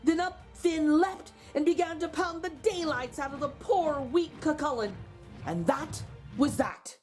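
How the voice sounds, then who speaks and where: A woman's voice: high voice, measured pace, faint music behind. A woman storytime host narrating a picture book expressively, with chatty asides to young listeners. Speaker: storytime host reading aloud to children.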